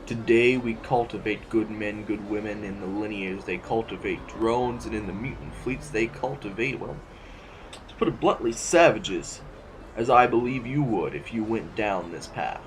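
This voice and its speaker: A man playing a formal, courteously menacing sovereign who chairs a council, speaking in pompous, measured, halting oratory in an audio drama. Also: on the low side, slight background noise, natural voice, low-quality recording. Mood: stern, patronizing.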